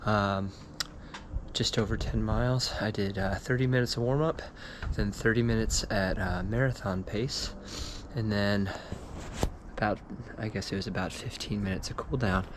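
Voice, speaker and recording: A man's voice: steady tone, moderate pace. A man in his thirties, losing his voice, talking casually and thinking aloud while reviewing running shoes outdoors on an action camera. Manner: low-key, thinking aloud. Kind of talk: casual vlog commentary. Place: outdoors on a porch and dark streets. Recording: camera audio with loud outdoor noise.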